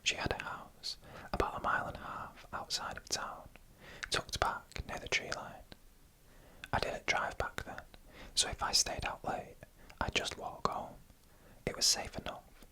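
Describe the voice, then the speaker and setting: A narrator tells scary stories in a breathy ASMR whisper with no voice in it. The sound is bright and crisp-edged. The delivery is hushed and deliberate, taken one phrase at a time. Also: rough recording. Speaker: narrator reading online stories.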